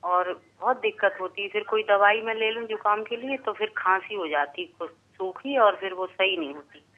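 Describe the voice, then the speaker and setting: A woman's voice: mid-range voice, moderate pace. A woman in her forties calling in by telephone and describing her symptoms to a doctor. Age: 40s. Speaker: caller. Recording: muffled narrowband telephone line.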